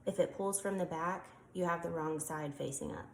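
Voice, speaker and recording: A woman's voice: fairly low pitch, normal speed. A woman with a congested voice, chatting casually as she demonstrates a craft step by step. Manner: chatty, explains while working with hands, frequent ums. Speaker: craft tutorial maker. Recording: echoey room, faint background noise.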